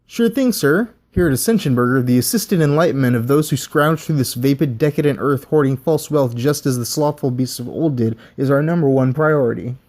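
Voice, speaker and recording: A man voicing a relentlessly chipper male fast-food cashier who answers everything with sales patter. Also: mid-range voice, darkish tone, natural voice. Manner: scripted, cheery sales patter.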